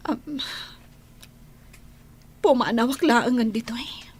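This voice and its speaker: An actress playing a defiant, bitter woman in a radio drama, with a husky voice and a Filipino accent. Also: fairly high pitch, slow pace, exaggerated voice. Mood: defensive. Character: defiant woman confessing to an affair, bitter and unapologetic.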